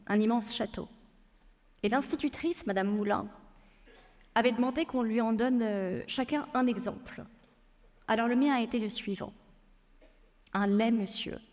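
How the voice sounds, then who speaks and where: A woman lawyer giving a prepared public talk, telling a personal story in a measured way with frequent hesitations. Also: mid-range voice, darkish tone, big-room sound. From France. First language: Persian. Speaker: lawyer and speaker.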